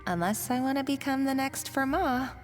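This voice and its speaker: Actress as an earnest, high-voiced young kitchen worker woman. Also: moderate pace, natural voice. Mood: resolved. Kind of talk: video game voice acting. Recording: reverberant hall with music behind.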